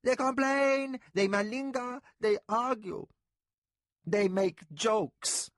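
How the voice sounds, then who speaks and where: A frightened, fawning house overseer pleads his case in a thin, high, whining voice, as a man reads him aloud. The pitch leaps around anxiously, and there is a husky grain to it. It sounds close and dry. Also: very animated, slow pace, fairly bright tone, no room sound, full character voice. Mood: pleading. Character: anxious male overseer servant, fawning and aggrieved.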